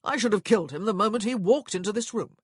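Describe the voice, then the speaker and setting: A woman voicing a gruff, menacing, protective husband in a period romance audiobook, blunt and threatening. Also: medium pitch, quick pace, no room sound, clean studio sound, pitched above the performer's own voice, close to an everyday voice. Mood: angry.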